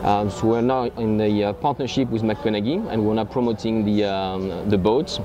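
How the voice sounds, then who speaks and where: French-accented man, low voice, outdoors. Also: normal speed, lo-fi sound, music in the background. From France. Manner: hesitant, many ums and uhs. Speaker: boat owner. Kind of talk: unscripted interview answer.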